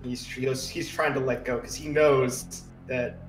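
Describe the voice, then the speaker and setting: Slow, halting man in a roomy space. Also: mid-range voice, steady tone, faint music behind. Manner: halting, loose, slangy phrasing. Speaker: roleplay player. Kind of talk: casual game chat. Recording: roomy echo, far from mic.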